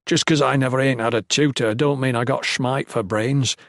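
Audiobook role: gruff old sailor priest, played by a male narrator, uneducated, bossy, folksy, in a dry studio sound. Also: mid-range voice, steady tone, moderate pace, fairly bright tone, no echo. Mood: proud, grumbling. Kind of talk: audiobook character voice.

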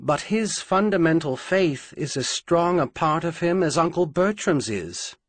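A man's voice: on the high side, animated, a little slow, no room sound, pitched above the performer's own voice. A man voicing a grieving but resolute young queen in an audiobook, speaking earnestly and emphatically in formal phrases. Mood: earnest, troubled.

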